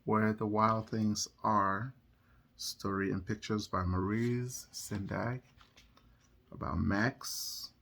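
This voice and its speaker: A woman reading a picture book to children at bedtime in an expressive, dramatic way, drawing out certain phrases. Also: extremely low pitch, creaky, slight background noise. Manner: dramatic, with emphasized words and drawn-out phrases. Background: music.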